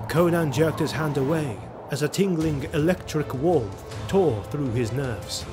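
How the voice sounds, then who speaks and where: Man narrating dark fantasy over music. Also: medium pitch. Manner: grave, dramatic storytelling. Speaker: audio drama narrator. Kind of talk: scripted dramatic fantasy narration. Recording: music behind the voice. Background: dramatic music.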